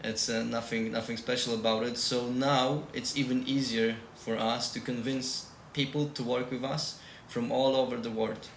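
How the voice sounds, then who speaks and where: A man's voice: mid-range voice, level tone, moderate pace. A man from Poland with a Polish accent, a tech team lead giving casual interview answers and repeating key advice emphatically. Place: shared room. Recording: echoey room with faint noise.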